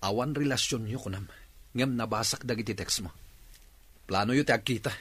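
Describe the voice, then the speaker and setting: A jealous young Ilocano boyfriend in a radio drama, devoted and wounded. His voice is mid-pitched and husky. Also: fairly quick, fairly bright tone, dry recording. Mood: jealous, accusing.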